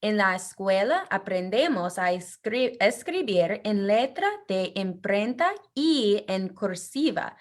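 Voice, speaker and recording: A woman co-hosting a children's bilingual read-aloud over a video call, speaking with expressive enthusiasm. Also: medium pitch, measured pace, hardly any pauses. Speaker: bilingual language teacher and read-aloud co-host.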